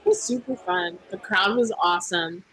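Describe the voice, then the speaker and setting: A woman with a husky voice, chatting casually as a guest. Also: lowish voice, moderate pace, sharp tone, soft background music, poor audio. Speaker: singer, guest. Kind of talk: casual chat.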